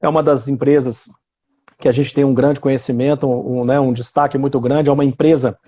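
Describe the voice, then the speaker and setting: A man's voice: mid-range voice, level tone, fairly quick, mellow, lo-fi sound. A man with a Brazilian Portuguese accent hosting an online interview, chatty and enthusiastic, with plenty of filler words. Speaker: interview host.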